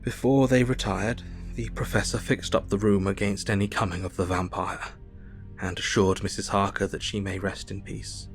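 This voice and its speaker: Low-voiced, solemn Victorian diarist. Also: male voice, flat delivery, normal speed, creaky, soft background music. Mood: sombre. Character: sombre, compassionate Victorian gentleman recording events. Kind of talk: dramatized diary narration.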